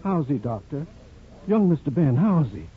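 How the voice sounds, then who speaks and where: An actor playing a loyal, excitable, deferential barbershop helper who stammers, in a 1950s radio drama. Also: male voice, a little high, normal speed. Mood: shaken, frantic. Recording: muffled radio recording, crowd noise behind.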